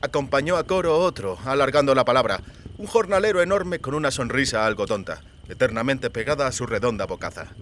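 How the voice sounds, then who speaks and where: A man's voice: mid-range voice, expressive, fairly quick, a bit bright. A man reading scripted literary narration for a fiction audiobook.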